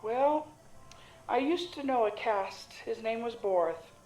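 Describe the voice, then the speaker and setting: A woman playing a warm, shrewd caravan cook who deals in secrets, with a folksy, teasing, knowing manner in an audio drama. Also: on the high side, expressive, slow pace. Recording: roomy echo, music underneath.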